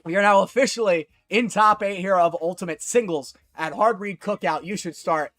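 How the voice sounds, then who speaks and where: A man giving solo live commentary on a fighting game tournament, excitable and jumping to high shouts at big moments, his voice a little worn, from a small closet. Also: normal speed, no echo. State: losing his voice. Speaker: esports commentator.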